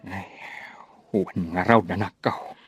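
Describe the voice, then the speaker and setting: A man's voice: sits low, steady tone, measured pace, faint music behind. A man acting in a radio drama as a weary, resigned older village farmer who sighs as he talks. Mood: gentle, resigned.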